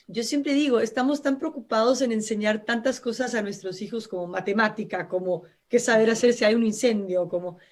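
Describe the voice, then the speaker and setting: A woman with a Brazilian accent, a native Portuguese speaker, talks through a live radio interview as the invited expert. Her voice sits a little high, and she explains earnestly, often restarting her phrases and padding them with small fillers. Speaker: guest expert.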